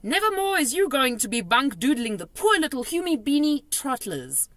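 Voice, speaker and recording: A woman doing an excitable old giant. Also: high-pitched, moderate pace, no echo, voice pushed up. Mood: fierce. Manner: jumbled grammar, made-up words.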